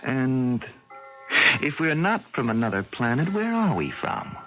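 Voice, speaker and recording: An actor playing a cool, menacing young double from another dimension in a radio drama, with a measured, smug manner. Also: male voice, medium pitch, very animated, faint music behind. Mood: cool, questioning. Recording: muffled vintage radio drama recording.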